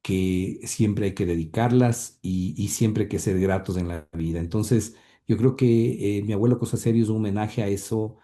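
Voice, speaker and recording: A man's voice: on the low side, dark tone. A man answering interview questions about his late grandfather, speaking hesitantly with many fillers and repeated words. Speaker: interview guest, grandson of the subject.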